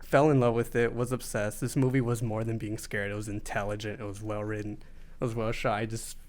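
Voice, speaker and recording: A man's voice: on the low side, brisk. A man, a podcast guest from Southern California, chatting casually and telling stories with lots of fillers. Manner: relaxed storytelling with many fillers. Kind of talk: casual chat.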